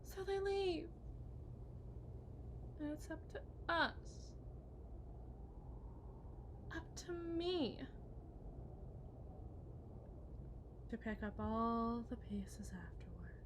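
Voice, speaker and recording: Woman acting a high-voiced, unhinged possessive kidnapper wife. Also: fairly even intonation, takes it slowly, long pauses, noisy background. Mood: bitter, pleading. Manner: theatrical, taunting, swinging between hushed and shrill. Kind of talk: ASMR roleplay monologue.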